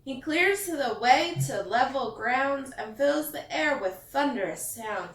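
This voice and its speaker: A woman with a fairly high voice reads a children's bedtime story at an unhurried pace. Her delivery is expressive and sing-song, leaning on the rhymes as a teacher would for young listeners. The sound is roomy and echoey. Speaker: teacher reading a picture book to children. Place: echoey indoor room. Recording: echoey room, distant mic.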